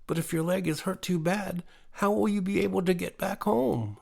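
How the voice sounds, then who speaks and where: A man voicing a kind, earnest little girl who speaks gently and reassuringly. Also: sits high, moderate pace, gravelly, voice pushed up, full character voice.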